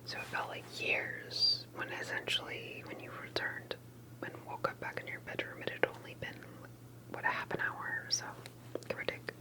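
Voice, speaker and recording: A woman speaking entirely in a breathy whisper, performing an ASMR interview roleplay with gentle, hesitant questioning and pauses. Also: low-quality recording.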